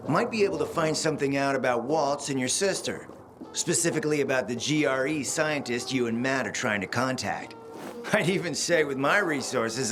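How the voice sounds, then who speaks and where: An actor playing a suave, scheming middle-aged spymaster in a video game, speaking in a theatrical, smooth, insinuating way. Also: male voice, medium pitch, natural voice. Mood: persuasive, smug. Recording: loud music behind the voice.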